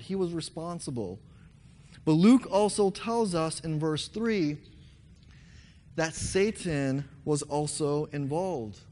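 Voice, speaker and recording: A young man preaching a sermon with a hoarse voice, earnestly leading his congregation in song. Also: fairly high pitch, a little mellow. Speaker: pastor. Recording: long reverb hall.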